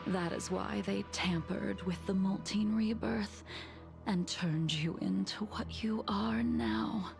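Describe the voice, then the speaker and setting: A remorseful swordswoman who speaks in a slow, hushed half-whisper with a gravelly rasp underneath. She sounds calm and distant, and game music plays behind her. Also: mid-range voice. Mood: solemn. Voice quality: soft, whispery delivery. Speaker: game character. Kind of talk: game cutscene voice acting.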